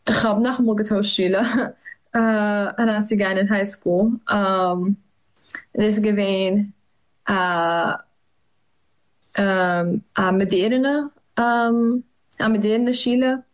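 A young woman, answering interview questions in a halting way, with many fillers and restarts. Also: mid-range voice, fairly even intonation. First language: Yiddish. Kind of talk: hesitant conversational interview.

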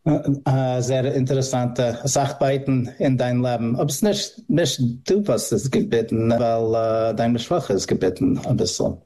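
A man interviewing, asking questions slowly while groping for words. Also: medium pitch, mellow. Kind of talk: conversational interview.

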